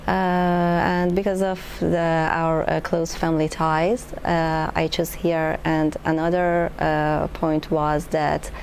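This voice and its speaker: Low, gravelly, hesitant woman. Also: level tone, slow pace, few pauses, a little mellow, a little hiss or hum, rough recording. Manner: hesitant, many uh fillers, simple grammar. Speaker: PhD student panelist. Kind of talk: panel discussion answers.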